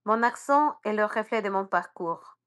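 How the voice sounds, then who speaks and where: A woman's voice: medium pitch, expressive, normal speed, a bit bright. A woman immersion teacher from Honduras, Spanish her first language, with an accent that carries traces of Spanish and English, speaking in an earnest and encouraging way. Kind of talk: prepared personal message.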